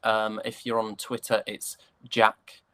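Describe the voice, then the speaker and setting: A man's voice: fairly low pitch, monotone, takes it slowly, a bit bright, smooth, no echo. A British man chatting casually as an interview guest, an actor with a joking, self-deprecating way of talking. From United Kingdom.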